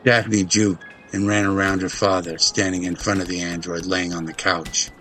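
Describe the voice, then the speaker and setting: A man's voice: low-pitched, level tone, moderate pace, a bit bright, faint music behind. A man reading scripted narration for an audio drama.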